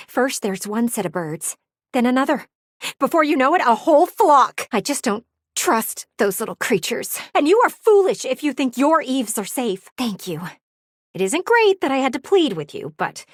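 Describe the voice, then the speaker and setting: A vain, nosy talking window, a female video game character. Her voice sits fairly high and swings widely in melody, full of theatrical fretting and teasing. The sound is close and dry, with no room around it. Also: no room sound. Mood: anxious, pleading.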